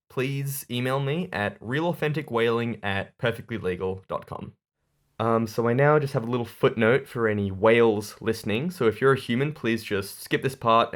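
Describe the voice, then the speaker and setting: A man playing an earnest, defensive whaler in a comedy monologue, halting and deadpan like an awkward announcement. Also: fairly low pitch, close to an everyday voice. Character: earnest, defensive man pitching a dubious whaling business.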